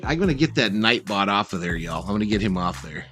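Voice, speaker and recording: A man's voice: fairly low pitch, sing-song, fast, pushed and bright, faint music behind. A man hosting a sports livestream, giving informal, chatty pregame commentary and talking directly to his viewers. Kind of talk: casual live pregame commentary.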